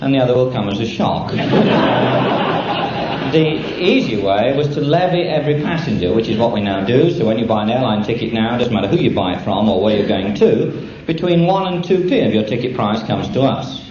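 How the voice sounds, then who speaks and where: British male after-dinner speaker, mid-pitched, dry deadpan comic delivery, echoey room. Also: a little fast, over a noisy crowd. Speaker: air traffic controller giving an after-dinner speech. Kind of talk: humorous after-dinner speech. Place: function room with an audience. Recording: echoey, dull, very noisy live room recording. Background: audience laughter, loud noise.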